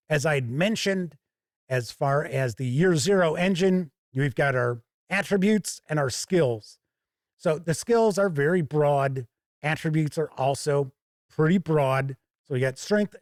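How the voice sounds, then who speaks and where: A man's voice: fairly high pitch, no echo, clean studio sound. A man giving a casual, informal product review as a host, explaining things in a conversational way. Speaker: tabletop game reviewer and show host.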